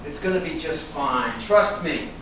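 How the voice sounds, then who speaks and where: Man playing a high-voiced, pushy film agent, echoey stage. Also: expressive, a little slow, pushed and bright. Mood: firm, reassuring. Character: brash, pushy male film agent, excitable and scolding. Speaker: actor in a staged reading. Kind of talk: theatrical staged reading. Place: indoor room at a live staged reading. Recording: echoey room, distant mic, background hiss.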